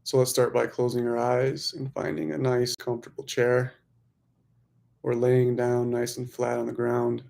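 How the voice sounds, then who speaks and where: A man leading a guided meditation, giving gentle instructions in unhurried phrases with pauses. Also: medium pitch, little pitch movement, vocal fry.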